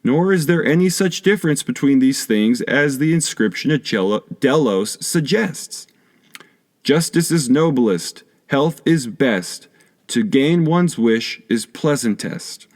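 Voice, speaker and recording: A man reads a scholarly text aloud in a formal, measured manner. His mid-pitched voice rises and falls widely, giving the scripted narration a very varied melody. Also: animated. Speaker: audiobook reader.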